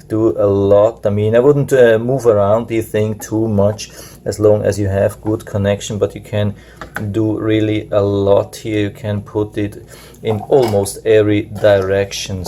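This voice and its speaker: A man whose native tongue is German talks through an unboxing in a relaxed, hesitant way, with many filler sounds. His voice sits low and gravelly with a flat, even melody. A faint hiss of room noise sits behind him. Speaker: product reviewer. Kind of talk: casual unboxing review. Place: indoors at a table.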